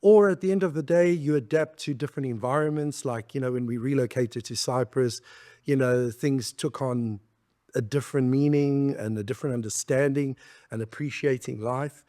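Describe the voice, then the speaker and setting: A middle-aged man from South Africa, a podcast guest talking reflectively in a relaxed interview and laughing easily. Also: mid-range voice, moderate pace. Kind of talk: conversational interview.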